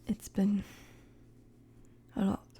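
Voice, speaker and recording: A woman playing a weary, guarded young queen in a dramatic audio roleplay, with a soft, breathy voice and measured, formal, hesitant phrasing. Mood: weary, apologetic. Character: weary young queen, regal, guarded, firm with her friend.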